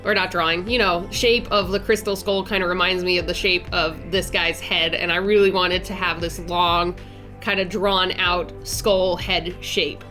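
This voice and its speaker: A woman's voice: lowish voice, steady tone, moderate pace, pushed and bright, soft background music. A woman in her twenties with a nasal, stuffy voice from a head cold, chatting casually and upbeat as she talks through her drawing process, with lots of fillers and restarts. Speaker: art channel host. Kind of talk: casual art vlog narration.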